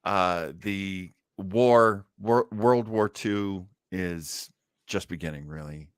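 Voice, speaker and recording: A man hosting with casual commentary, speaking haltingly as he thinks aloud. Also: low voice, smooth, no echo, clean studio sound. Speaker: show host. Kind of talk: casual commentary on old radio show.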